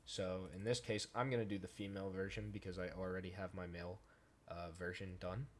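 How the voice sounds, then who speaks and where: A man hosting a software tutorial, explaining each step informally in a casual, conversational way. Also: deep voice, little pitch movement, brisk. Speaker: tutorial host. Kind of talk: casual screen-recorded software tutorial narration.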